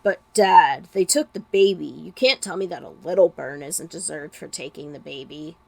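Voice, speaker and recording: A woman's voice: deep voice, normal speed, gravelly, voice pushed down. A woman voicing a huffy, protective young male former villain in a story reading. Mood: indignant protest.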